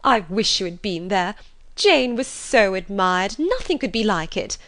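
A woman reading aloud, voicing an excitable, gushing middle-aged mother who talks in high, emphatic bursts. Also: measured pace. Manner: breathless, effusive, high and emphatic.

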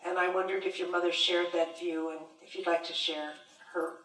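A woman's voice: lowish voice, flat delivery, brisk, a bit bright, distant mic. A woman in the audience asking the speaker a question, appreciative and polite. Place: large lecture room. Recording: echoey hall, handheld mic from afar, faint hum.

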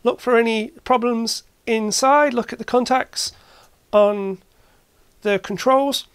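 A woman narrating a hands-on repair demonstration casually, thinking aloud in short, halting phrases. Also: a little high, clean tone, no echo. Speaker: hobbyist repair video maker. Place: indoor workshop at a workbench.

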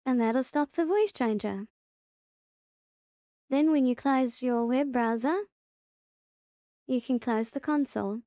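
A woman presents a casual software walkthrough in a high, mellow voice. She instructs one step at a time and circles back to remind the listener of key points. The sound is very dry, with no room around it. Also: slow, pauses often, no echo. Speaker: tutorial presenter. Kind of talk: casual software tutorial narration.

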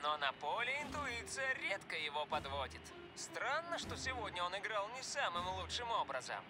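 A man voicing an energetic TV football commentator in a dubbed cartoon, announcing like a presenter. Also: sits high, moderate pace. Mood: brisk reporting. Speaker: sports commentator. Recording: loud music behind the voice.

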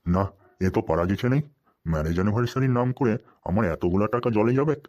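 A wily, tight-fisted cartoon husband played by a man with a low-pitched voice and a rural western Bengal accent. He talks in a folksy, wry way, always a bit henpecked. The voice track sounds dull and lo-fi. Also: expressive, quick pace, a little mellow, natural voice. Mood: worried, grumbling. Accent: rural western Bengal Bengali dialect. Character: sly, penny-pinching henpecked husband in a comedy cartoon. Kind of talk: comic cartoon dialogue.

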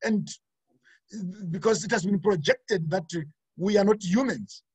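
A middle-aged man from Zimbabwe gives an earnest talk over a laptop webcam from a room at home. His voice is husky and sits a little high for a man. The sound is dry and close, with frequent fillers and restarts. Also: a little slow, darkish tone, dry recording, poor audio. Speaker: panel speaker. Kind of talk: webinar talk. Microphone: laptop webcam, video call.

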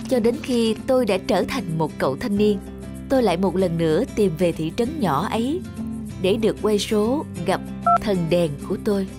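A woman's voice: mid-range voice, lively intonation, moderate pace. Woman narrating a story over music.

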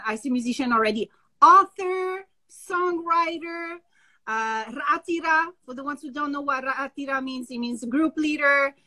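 A woman, hosting a live video-call interview, enthusiastic and halting with many fillers. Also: sits high, bright, forceful tone. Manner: enthusiastic, hesitant, many uhs. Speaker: host. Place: home office indoors. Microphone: laptop on a video call.

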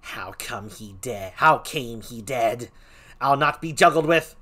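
A man voicing an enraged young nobleman out for revenge, with a rascally, raspy voice, shouting fiercely. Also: medium pitch, slow pace. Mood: furious.

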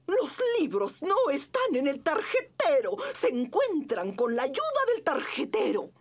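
A woman's voice: high voice, huge pitch swings, a little slow, heavily acted. A woman voicing a stern, grumpy, exasperated school principal in a Spanish-dubbed children's cartoon. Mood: strict. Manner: strict, exasperated. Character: stern, grumpy female rabbit school principal, never smiling. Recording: music behind the voice.

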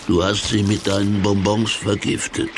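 A man's voice: fairly low pitch, little pitch movement, normal speed, background noise, lightly acted. A man playing a villain's patient, nagging sidekick in a children's audio play, insistent and coaxing. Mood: reproachful, patient.

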